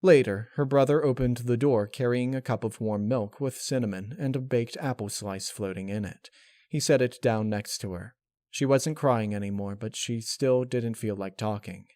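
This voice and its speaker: A man reading a dark fan-fiction story aloud as narrator, in a dramatic scripted style. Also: medium pitch, moderate pace, dry recording, studio quality.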